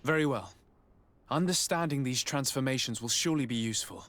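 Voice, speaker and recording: A man's voice: medium pitch, fairly quick, a bit bright, lightly acted. A man playing an earnest, courteous and resolute young nobleman envoy in a video game. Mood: polite, composed.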